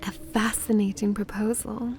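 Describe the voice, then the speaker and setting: A woman's voice: medium pitch, level tone, normal speed, a little mellow, slight background noise, close to an everyday voice. A woman playing a playful, smug and possessive vampiress in an ASMR roleplay, with a dramatic, coy delivery.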